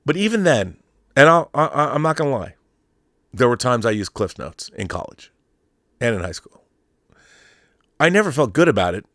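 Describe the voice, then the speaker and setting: A man's voice: medium pitch, big pitch swings, normal speed, pauses often, a bit bright, no room sound. Emphatic, rambling American man, dry close sound. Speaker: show host. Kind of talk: opinionated commentary, casual monologue.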